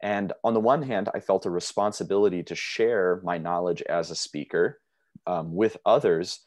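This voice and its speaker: Young guy on a video call giving a conference talk, deep voice, lots of ums, dry close mic. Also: a little mellow, dry recording. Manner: measured, hesitant with fillers. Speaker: linguist presenting a conference paper. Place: small room indoors. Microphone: video call webcam mic.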